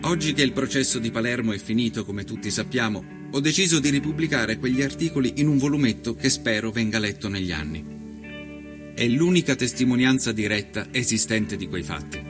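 A man performing an earnest nineteenth-century Italian journalist who recounts his travels in a measured, solemn, literary radio monologue. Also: mid-range voice, music in the background, lightly acted. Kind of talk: scripted dramatic narration, radio storytelling.

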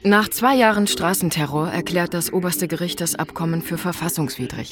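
A woman reading scripted documentary narration as the narrator of an audio docudrama. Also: on the low side. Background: music.

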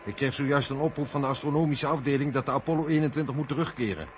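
A man's voice: mid-range voice, rapid-fire, a little hiss or hum, close to an everyday voice. Man acting sceptical mission controller, dull old recording. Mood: tense, hurried. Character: Sam, sceptical, matter-of-fact male Mission Control colleague. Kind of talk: 1970 radio play acting.

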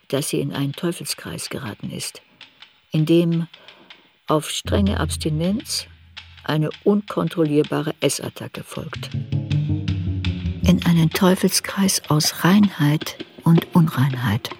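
An actress playing a serious woman looking back on her girlhood shame, narrating a literary radio play in a measured, introspective way. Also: low-pitched, darkish tone, soft background music. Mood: introspective, grave.

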